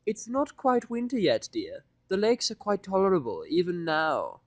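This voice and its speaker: Brief: male reader as genteel, fussy female pony designer, raised pitch, affected drawn-out delivery, gracious cartoon manner. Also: wildly swooping pitch, no echo. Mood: helpful, gracious.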